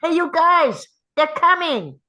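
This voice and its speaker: Woman voicing an excitable, very high-pitched kid adventurer. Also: slow, lo-fi sound, heavily acted. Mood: urgent. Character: excitable young kid adventurer, urgent and exasperated. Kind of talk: animated table read of a film script.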